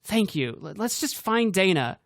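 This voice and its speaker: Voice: mid-range voice, big pitch swings, a little slow, no room sound, clean studio sound, voice pushed up. A narrator voicing a bossy, foul-mouthed young woman who barks orders and snaps at others. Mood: commanding. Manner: sharp, commanding, swearing.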